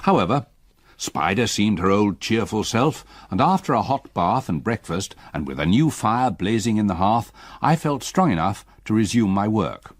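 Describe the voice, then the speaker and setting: An actor playing an uneasy, educated solicitor in a gothic radio drama, with measured, articulate, old-fashioned speech. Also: male voice, lowish voice, very animated, no echo, studio quality. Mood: subdued, reflective. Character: uneasy, educated male solicitor recounting a haunting.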